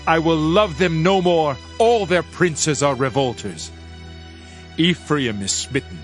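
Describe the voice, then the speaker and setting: A man's voice: fairly high pitch, lively intonation, slow pace. A man playing a solemn Old Testament prophet in a dramatized Bible reading, declaiming judgment in a grave, formal manner. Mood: wrathful. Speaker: scripture narrator. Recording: music under the voice. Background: music.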